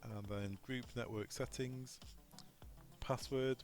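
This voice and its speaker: A man's voice: mid-range voice, steady tone, slow pace, a little mellow, faint music behind. A man presenting an unscripted technical tutorial, informally talking through each step aloud as he goes. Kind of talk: unscripted step-by-step software walkthrough.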